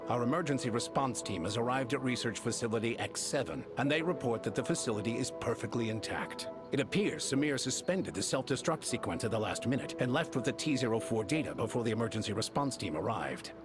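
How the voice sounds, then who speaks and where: An actor playing a composed, authoritative male scientist in a video game, explaining grim matters in formal, measured, articulate speech. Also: mid-range voice, animated, natural voice. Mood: grave, explanatory. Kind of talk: scripted game dialogue, formal exposition. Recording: game music behind the voice.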